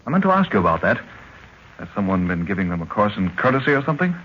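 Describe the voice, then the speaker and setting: An actor playing an eager, earnest young adventurer in an old-time radio drama. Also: male voice, on the low side, very animated, quick pace. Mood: breezy, chatty. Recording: muffled, hissy old radio recording.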